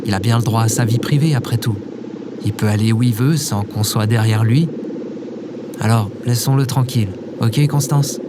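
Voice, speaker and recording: A man's voice: medium pitch, moderate pace, heavy background noise, natural voice. A man playing an anxious, well-meaning cat owner in an audio drama, informal and sheepish. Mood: exasperated. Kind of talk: casual audio drama dialogue.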